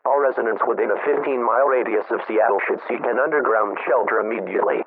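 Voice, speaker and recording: A man's voice: mid-range voice, fast, no echo, narrow, tinny audio. A man's synthetic-sounding voice reading a scripted emergency broadcast announcement in a formal, impersonal manner.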